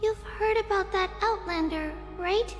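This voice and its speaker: A woman voicing a small, earnest, polite girl in a video game, a gentle peacemaker who speaks courteously. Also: extremely high pitch, measured pace. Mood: earnest reassurance. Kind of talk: dramatic video game acting. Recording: hall reverb under music.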